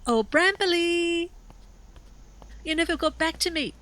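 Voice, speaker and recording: A village busybody in a radio play. She is pushy and gossipy, and her voice sits high. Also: slow, fairly bright tone, heavily acted. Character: busybody village woman organising the fete raffle. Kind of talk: radio drama acting.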